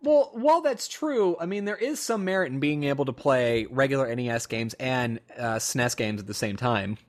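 Young man, podcast co-host, casual rambling chat, mid pitch, laughs a lot. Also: lively intonation, normal speed. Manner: rambling storyteller, laughs often, many fillers.

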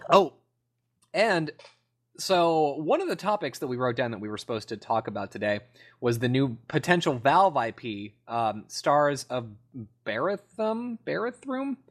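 A man's voice: medium pitch, big pitch swings, a little slow. A man co-hosting a podcast in casual conversation, opinionated and rambling, often repeating himself for emphasis. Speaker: podcast co-host. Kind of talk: casual gaming podcast chat.

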